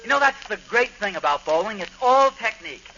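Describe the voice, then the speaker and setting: An actor playing a boastful, bumbling suburban husband in an old radio sitcom, talking in eager, self-satisfied exclamations. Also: male voice, high-pitched, animated, brisk, sharp tone. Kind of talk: 1940s radio sitcom acting. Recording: muffled, noisy old radio recording.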